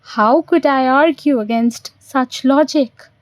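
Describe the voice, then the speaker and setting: A woman's voice: high-pitched, slow, no echo. A woman voicing a bitter, sarcastic older man, a disillusioned dancer, in an audio play. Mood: scornful, agitated. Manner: sardonic, rhetorical, brooding.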